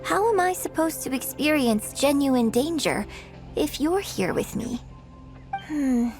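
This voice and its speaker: A poised, high-voiced young opera performer. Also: female voice, expressive, unhurried, darkish tone. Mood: resigned. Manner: articulate, formal, polite, reflective. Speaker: video game voice actor. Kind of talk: scripted video game dialogue. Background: game music.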